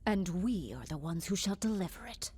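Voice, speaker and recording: A woman's voice: medium pitch, animated, normal speed, a little mellow, a little hiss or hum, lightly acted. A woman playing a cold, imperious alien warlord in a video game, menacing and stately in delivery. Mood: cold menace. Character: cold, imperious female alien warlord serving a dark master. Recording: music behind the voice.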